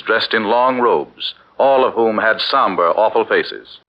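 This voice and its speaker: Dramatic 1940s radio narrator man. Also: mid-range voice. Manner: dramatic, storytelling. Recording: muffled 1940s radio broadcast with faint music.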